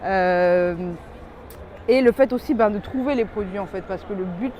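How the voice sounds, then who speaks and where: A woman from West Africa answering interview questions casually, pausing to search for her words. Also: mid-range voice, lo-fi sound. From born in Belgium, grew up in West Africa. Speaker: entrepreneur being interviewed. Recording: noisy urban ambience behind voice.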